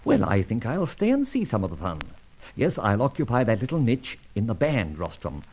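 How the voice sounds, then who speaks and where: Suave, animated gentleman adventurer. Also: medium pitch, moderate pace, a little hiss or hum. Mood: amused. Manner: urbane, wry, formally polite. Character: suave, debonair gentleman adventurer, a secret vigilante narrating memoirs. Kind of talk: old radio serial acting. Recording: dull, lo-fi old radio recording.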